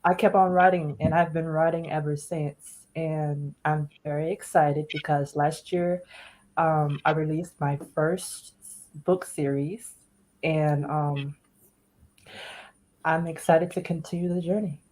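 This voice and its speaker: Guest author, woman from Washington, deep-voiced, cheerful and eager. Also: fairly even intonation, slow, darkish tone, poor audio. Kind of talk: casual interview.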